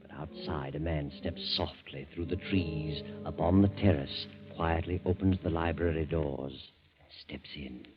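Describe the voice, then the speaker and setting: Ominous slow storyteller, muffled 1940s radio narration, loud music behind. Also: male voice, very deep voice. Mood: suspenseful. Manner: slow, suspenseful. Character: ominous, deliberate male storyteller of a mystery. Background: loud instrumental music.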